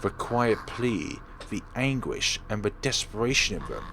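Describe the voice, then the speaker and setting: Guy with a low voice reading a horror visual novel out loud, dramatic, pretty noisy audio. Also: animated, unhurried. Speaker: let's player reading a game aloud.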